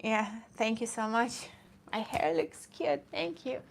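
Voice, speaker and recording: A woman's voice: a little high, sing-song, measured pace, vocal fry, a little hiss or hum. A woman from Iran who streams about fitness, chatting casually with viewers in a rambling way and often breaking off to greet commenters.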